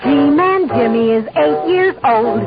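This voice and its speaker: A woman's voice: sits high, animated, slow, music in the background, full character voice. A woman performing a sing-song 1950s radio advertising jingle. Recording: dull old radio recording.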